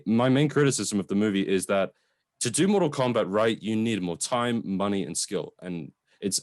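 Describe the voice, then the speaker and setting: Male review co-host, lowish voice, very dry sound, conversational, opinionated, a bit hesitant, mildly sweary. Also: darkish tone, no echo. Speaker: podcast co-host reviewing films. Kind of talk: casual opinionated film discussion.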